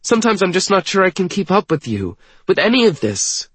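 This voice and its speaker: A man's voice: high-pitched, very animated, a little fast, fairly bright tone, breathy edge, no echo, pitched above the performer's own voice, full character voice. A man voicing an anxious, self-doubting young woman in an audiobook, tender and apologetic, with a hesitant, emotional delivery. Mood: distressed, frustrated. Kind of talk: audiobook dialogue acting.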